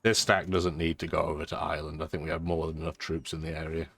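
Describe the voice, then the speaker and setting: A man's voice: rumbling low, flat delivery, fast talker, gravelly, fairly bright tone. A man with a stuffy, bunged-up voice giving casual, dry, chatty commentary as he plays a strategy game. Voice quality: congested, stuffy nose. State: lingering sore throat, blocked sinuses. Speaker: gaming video host. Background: background music.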